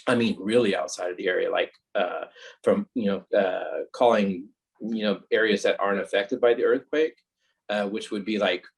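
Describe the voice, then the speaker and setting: Man, low voice, halting conversational delivery, guest fire captain, informal explanatory talk, video call. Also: fairly even intonation, creaky. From California, United States.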